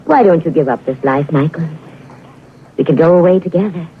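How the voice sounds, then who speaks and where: An actress playing a passionate, ruthless enemy agent and pleading lover in 1940s radio drama style, on an old hissy recording. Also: female voice, low-pitched, brisk, narrow, tinny audio, lightly acted. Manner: impassioned, imploring.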